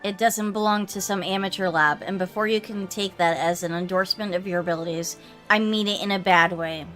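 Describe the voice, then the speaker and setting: Streamer girl doing a serious young lady scientist, formal n critical, mid pitch, visual novel. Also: fairly even intonation, a little fast, a bit bright, soft background music, close to an everyday voice. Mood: grave, critical.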